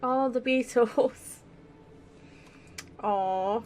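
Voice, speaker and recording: A woman's voice: fairly high pitch, extremely slow, a little mellow, a little hiss or hum. A woman giving casual let's play commentary, sarcastic and blunt, with some swearing. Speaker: gaming channel host.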